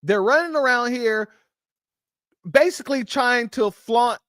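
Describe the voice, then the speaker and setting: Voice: medium pitch, a little slow, a bit bright, no echo, clean studio sound. A host reacting to a clip on a livestream, speaking in a heated, emphatic way. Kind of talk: livestream commentary.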